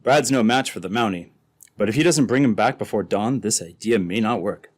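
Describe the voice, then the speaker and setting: Man acting an uneasy, low-voiced henchman. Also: a little fast, lightly acted. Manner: hesitant, worried. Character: uneasy, hesitant henchman in a murder plot. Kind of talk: re-created old-time radio drama acting.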